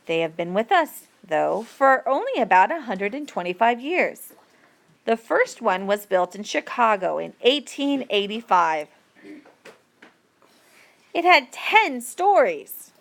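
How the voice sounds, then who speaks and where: Slow-paced woman reading a lesson aloud. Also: mid-range voice. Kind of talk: read-aloud educational narration.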